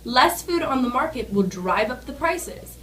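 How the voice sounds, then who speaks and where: A woman's voice: high voice, big pitch swings, measured pace, a bit bright. A young woman reading scripted news as an anchor. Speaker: news anchor in an amateur newscast. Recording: distant mic, faint background noise.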